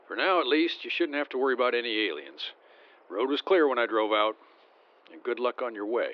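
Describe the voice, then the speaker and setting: A man playing a brisk, cocky American military scout in an audio drama. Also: medium pitch, sing-song, highs cut off, lightly acted. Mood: cocky, breezy.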